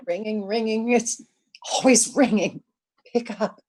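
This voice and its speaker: Woman acting a high-pitched frantic invalid wife, noisy recording. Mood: panicked, distraught. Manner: nervous stammering, repeating herself. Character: anxious, demanding invalid wife, frantic and self-pitying. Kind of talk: old-time radio suspense acting.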